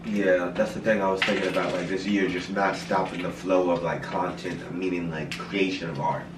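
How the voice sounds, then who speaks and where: Deep-voiced young man, distant and echoey. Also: little pitch movement, moderate pace. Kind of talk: casual chat. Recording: distant, echoey room with noise.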